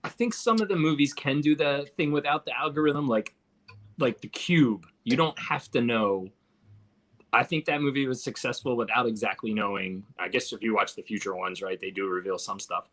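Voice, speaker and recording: A man's voice: mid-range voice, a bit bright. A man giving his opinions as a panelist in a casual movie discussion. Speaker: film podcast panelist.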